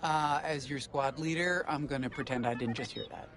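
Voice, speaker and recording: An actor playing an easygoing but dutiful squad leader in a space video game, speaking in a wry, conversational way. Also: male voice, mid-range voice, moderate pace, noisy background, lightly acted. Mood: wry, amused.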